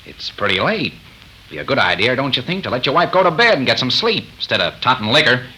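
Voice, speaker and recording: A gallant, quietly defiant gambler in an old radio melodrama. The delivery is earnest and deliberate, with a very bright tone and highly animated pitch. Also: male voice, low-quality recording. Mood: needling. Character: cool, gallant frontier card player, quietly defiant. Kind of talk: 1930s radio melodrama acting. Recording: old 1930s radio recording.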